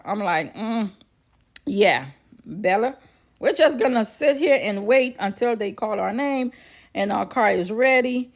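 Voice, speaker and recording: A woman telling a personal story casually to viewers, chatty and repeating phrases for emphasis. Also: medium pitch. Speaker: vlogger.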